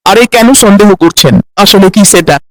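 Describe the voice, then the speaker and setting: A man voicing an eager, smooth-talking house seller with a reassuring, persuasive manner in a cartoon. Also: high-pitched, moderate pace, a bit bright, no echo.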